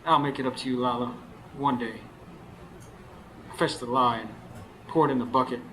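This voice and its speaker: An earnest, folksy Southern working man played by a male actor in a radio drama, scheming and confiding. His voice sits at a middle pitch, over clear background noise from a live recording. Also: fairly even intonation, normal speed, fairly bright tone, close to an everyday voice, rough recording. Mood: confiding, rueful. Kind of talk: radio drama acting with first-person narration. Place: stage before a live studio audience.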